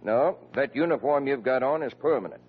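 An actor playing a gruff, exasperated police lieutenant in 1940s radio comedy, with a clipped, commanding manner. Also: male voice, mid-range voice, lively intonation, brisk. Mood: resigned, dry. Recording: dull, noisy old radio recording.

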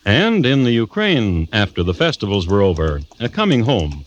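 An actor playing a wry storyteller, narrating a classic radio drama in scripted style. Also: male voice, lowish voice, very animated, moderate pace, slight background noise. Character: wry, unhurried male storyteller of a dark tale. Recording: dull old radio recording. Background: music.

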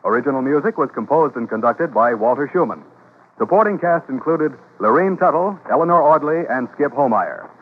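A man's voice: medium pitch, animated, fairly quick, pauses often, a little hiss or hum. An American man announcing a vintage radio drama in a formal, polished, emphatic style. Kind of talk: 1940s-50s radio announcing. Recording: tinny old radio broadcast, music behind.

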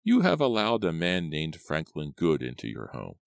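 A man voicing a stooped old woman from a horror storybook, slow and commanding, with a deep, raspy, gravelly croak. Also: huge pitch swings, no room sound, studio quality, full character voice. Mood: grave warning. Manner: slow, commanding, ominous pronouncements. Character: menacing, stooped old crone fortune-teller in woods.

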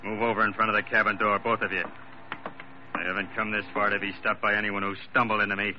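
A man acting a hard, suspicious swindler in a vintage radio thriller. His voice is fairly low, curt and threatening. The recording is dull, with faint noise behind it. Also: little pitch movement, fast talker, natural voice. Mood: menacing, suspicious. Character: armed, suspicious swindler, a hard desperate villain. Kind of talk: old-time radio drama acting.

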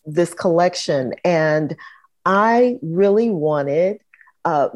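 A woman's voice: fairly low pitch, very slow, darkish tone. A woman archivist and researcher speaking enthusiastically on a panel. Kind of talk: panel discussion.

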